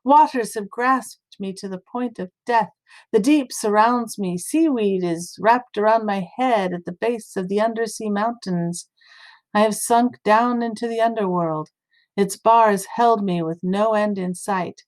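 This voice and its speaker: A woman's voice: mid-range voice, a little slow, a little mellow, close to an everyday voice. A woman voicing an earnest, distressed male prophet, fervent and solemn, in a scripture story reading.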